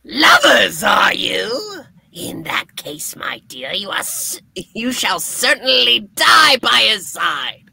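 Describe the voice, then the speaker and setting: A performer voicing a gloating male goblin supervillain with a raspy, creaky, theatrically menacing delivery in a comic book puppet reading. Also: medium pitch, huge pitch swings, slow, sharp tone, no echo, raised voice, exaggerated voice.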